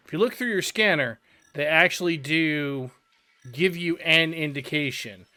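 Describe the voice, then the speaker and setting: A man's voice: on the high side, a little slow, pushed and bright, no room sound. A young man giving casual let's-play commentary, explaining game quests in a chatty, friendly way. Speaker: gameplay commentator.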